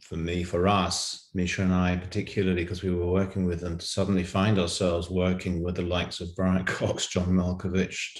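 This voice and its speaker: Male writer as interview guest, very deep register, emphatic anecdotal chat with repeated phrases, recorded echoey over a call. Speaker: audio drama writer and guest. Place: room on a video call. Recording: roomy, echoing video-call sound.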